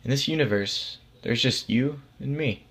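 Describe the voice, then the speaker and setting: A man's voice: mid-range voice, moderate pace, fairly bright tone, lightly acted. A man as a casual, reassuring God. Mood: earnest, explaining. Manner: matter-of-fact, patient explaining. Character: God as a casual, reassuring, vague authority figure. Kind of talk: acted storybook dialogue.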